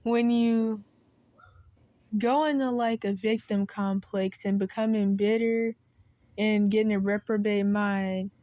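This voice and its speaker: A woman's voice: mid-range voice, steady tone, slow pace, mellow, no echo. A woman talking straight to camera in a meandering, unscripted monologue, often losing her train of thought and starting over, her voice cracking. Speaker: video creator.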